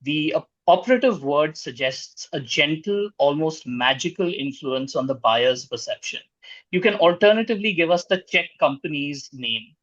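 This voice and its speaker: A man hosting a quiz, reading out questions in a formal, measured way. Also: on the high side, expressive, fairly bright tone, no echo, lo-fi sound.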